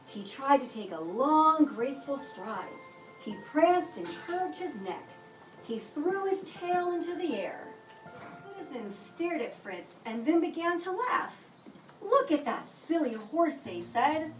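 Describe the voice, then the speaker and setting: A woman reading a children's picture book aloud with expressive, deliberate storytelling delivery. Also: high voice. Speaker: storybook reader. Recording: roomy echo, distant mic, music behind.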